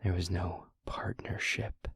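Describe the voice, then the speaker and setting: A man playing a sarcastic, defiant male vampire outcast in an audio roleplay, grudging and belligerent, with a very raspy, half-whispered voice. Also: sits low, flat delivery, takes it slowly, fairly bright tone, dry recording, clean studio sound, pitched above the performer's own voice, exaggerated voice. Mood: bitter, grudging. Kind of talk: acted fantasy audio roleplay.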